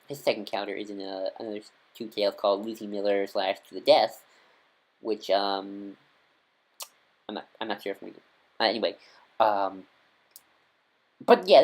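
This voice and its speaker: A man's voice: lowish voice, normal speed. A man giving casual, unscripted recommendations as a fan reviewer, rambling hesitantly and often correcting himself. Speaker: vlogger reviewing audio dramas.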